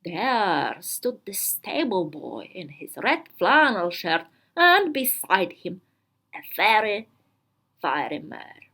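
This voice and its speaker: A woman giving a dramatic literary reading of a diary, theatrical and deliberate, pausing between short phrases. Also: mid-range voice, sing-song, a bit bright. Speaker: reader of a literary story.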